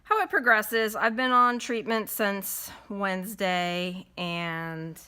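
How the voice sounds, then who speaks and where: Slow, bright-voiced congested woman vlogger. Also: medium pitch, clean tone. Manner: unhurried, chatty, friendly. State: congested from a cold. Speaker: cross-stitch vlogger. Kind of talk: casual chat while stitching. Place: at home with windows closed.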